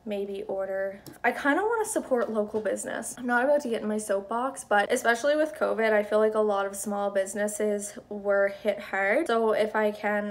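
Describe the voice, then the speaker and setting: A woman's voice: mid-range voice, vocal fry. A young adult woman talking informally and rambling in a casual home vlog, recorded indoors on a handheld device at arm's length. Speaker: vlogger. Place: indoors in an apartment. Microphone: phone or camera at arm's length.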